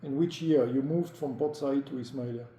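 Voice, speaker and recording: A male interviewer speaks in a mellow, relaxed tone in a noticeably echoey room. He questions conversationally, probing for detail and checking it back as he goes. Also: mid-range voice, moderate pace. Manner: probing, restates answers to confirm.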